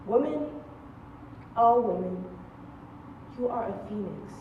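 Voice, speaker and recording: A woman's voice: mid-range voice, animated, takes it slowly. A young woman performing spoken-word poetry on stage with emphatic, rhythmic repetition. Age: young adult. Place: stage in a large room. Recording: echoey, distant, slightly dull noisy stage recording.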